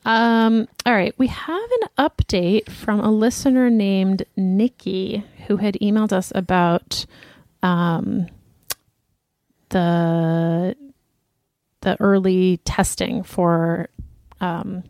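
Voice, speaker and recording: A woman's voice: medium pitch, steady tone, slow pace, a little mellow, no room sound, clean studio sound. A woman in her thirties co-hosting a podcast, chatting casually and reading listener emails aloud. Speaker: podcast co-host. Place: Los Angeles.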